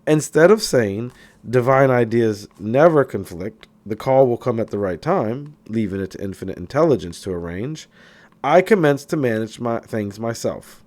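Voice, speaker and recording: A man narrates a self-help book aloud. His intonation moves up and down in an animated way, and he leans on key phrases with clear emphasis. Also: medium pitch, normal speed. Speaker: audiobook reader.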